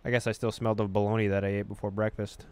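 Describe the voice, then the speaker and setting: A man reading a horror visual novel aloud as a gaming host, with expressive storytelling and casual delivery. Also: fairly low pitch, racing, clean tone.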